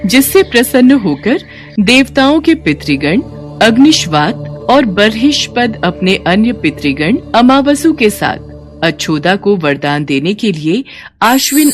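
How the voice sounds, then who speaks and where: A woman narrates a scripted devotional story as a storyteller. Her voice sits at a medium pitch and moves in lively, expressive swings. There is faint music behind her.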